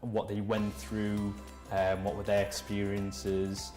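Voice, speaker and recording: A young man from Northumberland, a graduate trainee, answering interview questions earnestly with measured pauses. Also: fairly low pitch, completely flat delivery, darkish tone. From Northumberland, England. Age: young adult. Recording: roomy sound with music behind.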